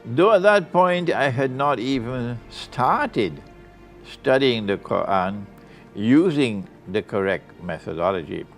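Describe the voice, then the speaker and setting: A male Islamic scholar giving a lecture, reading a student's letter aloud in an unhurried, deliberate way with occasional soft laughs. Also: medium pitch, lively intonation, faint music behind.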